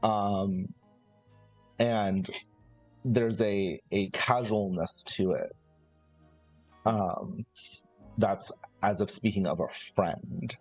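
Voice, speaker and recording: A man chatting casually and haltingly out of character as a player in a tabletop roleplaying game. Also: on the low side, fairly even intonation. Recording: dull lo-fi sound, background music.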